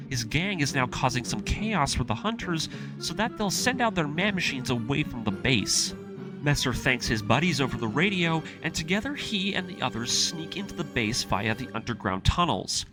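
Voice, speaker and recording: A man narrating a scripted story recap with personal commentary, in an emphatic, enthusiastic fan manner. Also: medium pitch. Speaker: anime video essayist and host. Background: music.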